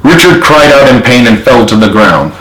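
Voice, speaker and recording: Guy reading his own book out loud, dramatic storytelling, medium pitch, pretty echoey room. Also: expressive, normal speed. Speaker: author reading own novel. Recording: roomy, echoey home recording.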